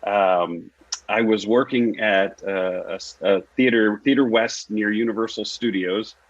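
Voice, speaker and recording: A man's voice: on the low side, a little slow. A man, an actor and singer, telling his story casually as an interview guest. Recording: echoey room, dull lo-fi audio.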